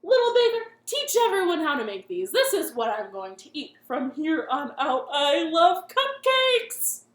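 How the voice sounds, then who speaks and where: A woman's voice: sits high, lively intonation, a little slow, sharp tone, full character voice. A woman playing a grumpy monster king. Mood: delighted. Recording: roomy echo.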